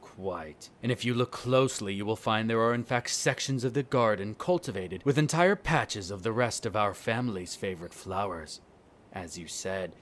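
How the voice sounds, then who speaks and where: A man's voice: on the low side, a bit bright. A man acting a smug, contemptuous aristocratic vampire in an audio roleplay, with formal, disdainful diction.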